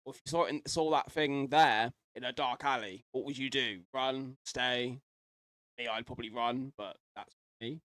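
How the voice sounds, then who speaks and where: A man's voice: mid-range voice, moderate pace, a bit bright, no room sound. A man giving casual, rambling reaction commentary as a host, speculating aloud and mildly sweary.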